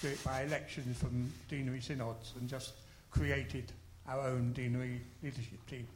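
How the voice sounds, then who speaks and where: A man at a church conference explaining his team's approach, animated and self-deprecating. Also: medium pitch, normal speed, noisy background. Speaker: conference delegate.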